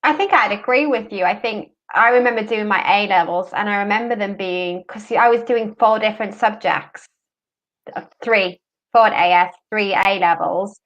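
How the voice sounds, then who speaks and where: A woman's voice: mid-range voice, normal speed, a bit bright. Woman running a uni panel, friendly, lots of ums, a bit dull lo-fi sound. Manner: friendly, many fillers. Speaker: university adviser hosting a panel. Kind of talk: panel discussion.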